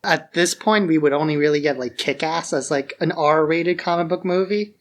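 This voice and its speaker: A man's voice: on the high side, moderate pace. A man co-hosting a podcast about films in a casual, conversational style. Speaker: podcast co-host. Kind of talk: casual chat.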